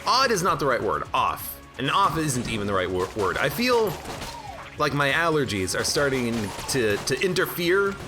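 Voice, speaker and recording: A man's voice: mid-range voice, very animated, moderate pace. A man casually commenting on a video game and chatting with viewers, stuffed up from allergies and rambling with jokes at his own expense, game music and gunfire playing behind him. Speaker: game streamer. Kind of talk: casual let's-play commentary. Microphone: own desk microphone.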